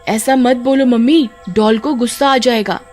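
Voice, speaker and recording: A woman's voice: a little high, fairly even intonation, normal speed, darkish tone, soft background music, natural voice. A woman voicing an innocent, pleading little girl in a horror story. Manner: pleading, childlike. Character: little girl, innocent, devoted to her eerie doll.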